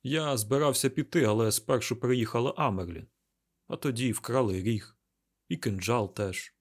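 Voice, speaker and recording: A man's voice: medium pitch, normal speed, lightly acted. Man playing a troubled, reluctant young hero. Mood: resigned. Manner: earnest, hesitant, burdened.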